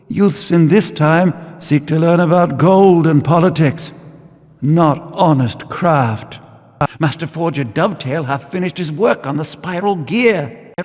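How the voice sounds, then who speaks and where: Pious stern game zealot, formal old-timey speech, slow delivery, big echoey hall. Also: male voice, a little high, a little mellow. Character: stern, devout male Hammerite zealot of a religious order. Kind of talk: archaic, solemn video game dialogue. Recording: long hall reverb.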